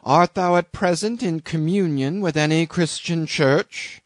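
A man's voice: a little high, measured pace, no echo, pitched above the performer's own voice, close to an everyday voice. A man voicing a stern, pious old Quaker sea captain with a hollow voice, severe and preachy in archaic speech. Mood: pious suspicion.

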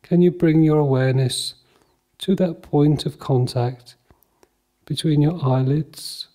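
A man leading a guided mindfulness meditation in a calm, measured, soothing manner, leaving long pauses between short phrases. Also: a little high.

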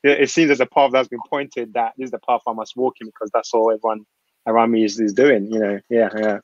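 A man who grew up in Ghana speaks at a rapid clip on a panel discussion, filling his points with earnest, rambling asides. He talks through a laptop webcam, and the sound is dry and muffled. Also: mid-range voice, level tone, a little mellow, no echo. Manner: earnest, rambling, many filler phrases. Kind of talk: panel discussion on a video call. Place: small room at home. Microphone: laptop webcam on a video call. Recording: muffled video-call audio.

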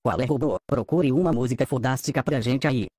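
A man's voice: mid-range voice, fairly quick, warm tone, lightly acted. A man with a Brazilian accent playing a mocking, laid-back cartoon henchman with slangy, teasing delivery. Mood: casual. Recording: roomy echo, faint music.

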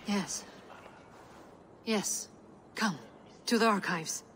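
A woman's voice: medium pitch, extremely slow, fairly bright tone, slight background noise. A woman playing an earnest, loyal Norse noblewoman in a video game, terse and formal. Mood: grave. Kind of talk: dramatic video game cutscene acting.

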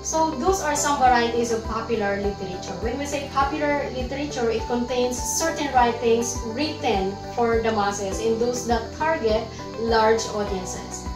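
A woman's voice: a little high, moderate pace. A woman with a Filipino accent hosting a lesson in a scripted presenting style. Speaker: host, presenter. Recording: echoey room, distant microphone. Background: music.

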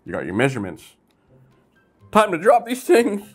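A man hosting a casual toy review vlog, chatty and easygoing, with sudden bursts of excitement. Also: high voice, normal speed, over music.

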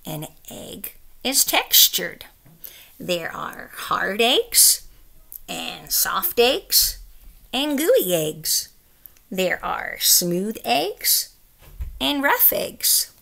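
A middle-aged woman reads a picture book aloud like a teacher. Her voice is bright, with a touch of creak, and she takes her time over each carefully stressed phrase. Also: medium pitch, very slow. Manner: careful, expressive, emphatic phrasing for young listeners. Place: small room at home, indoors.

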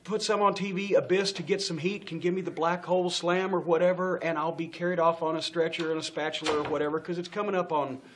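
A man's voice: fairly high pitch, fairly even intonation, quick pace, few pauses, a little hiss or hum. A middle-aged man telling a long backstage story in a studio interview, acting out the people he quotes, swearing freely and laughing often. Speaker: interview guest. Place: indoor studio set with stage lights.

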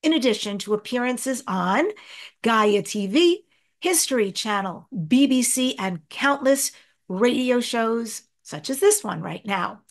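A woman's voice: fairly high pitch, expressive, measured pace. A middle-aged woman hosting a podcast interview, asking questions enthusiastically over a video call. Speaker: host.

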